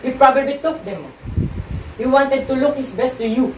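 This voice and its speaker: A woman's voice: high-pitched, moderate pace, close to an everyday voice. A woman playing a distraught, jealous young wife in an amateur stage play, pleading and accusing with emphatic delivery. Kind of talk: amateur one-act stage play dialogue. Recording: roomy echo, distant mic, faint noise.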